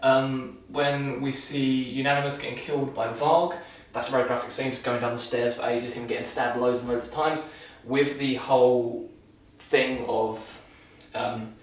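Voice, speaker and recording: A man's voice: medium pitch, flat delivery, moderate pace, few pauses. A young man vlogging casually while shaving, talking loosely with lots of restarts and dry sarcasm. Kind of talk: casual rambling film review. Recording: echoey room, mic far off.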